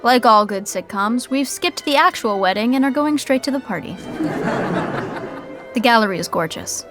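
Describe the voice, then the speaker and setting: Woman, sitcom narrator, scripted comic delivery, fairly high voice. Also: expressive, moderate pace, over music.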